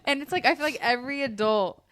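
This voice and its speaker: A woman's voice: high-pitched, quick pace, a bit bright, no echo. Thoughtful woman podcast co-host, hesitant. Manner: reflective, questioning, hesitant restarts. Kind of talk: casual chat.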